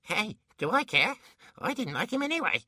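A man's voice: high-pitched, very animated, moderate pace, sharp tone, breathy edge, no echo, studio quality, voice pushed up, full character voice. A man voicing a small sarcastic male pixie smuggler in an audiobook, with a snide, needling manner. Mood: offhand.

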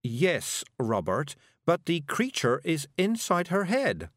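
Brief: prim, slow-spoken older lady witch, voiced by a man, raised pitch, measured and genteel. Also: wildly swooping pitch, fairly bright tone, dry recording, studio quality, heavily acted. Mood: puzzled.

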